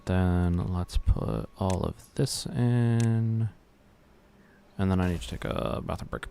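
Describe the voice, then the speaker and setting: A man's voice: low voice, takes it slowly, creaky, mellow, soft background music. An American man streaming a building game, muttering his moves to himself, humming and joking along. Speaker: gaming streamer. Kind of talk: live game commentary.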